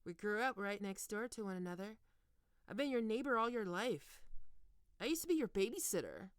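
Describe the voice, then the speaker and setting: A woman playing a stern, teasing goth college professor in an ASMR roleplay, speaking deliberately and condescendingly into a close microphone. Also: medium pitch, sing-song, clean tone, dry recording, natural voice. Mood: probing, taunting. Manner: condescending, taunting, deliberate with pauses. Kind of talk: ASMR roleplay, scripted monologue to listener.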